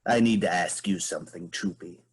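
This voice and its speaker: Man playing an imperious, husky moose monarch. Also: deep voice, a little fast. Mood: coaxing. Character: imperious, irritable moose queen, scheming and persuasive. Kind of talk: staged play reading.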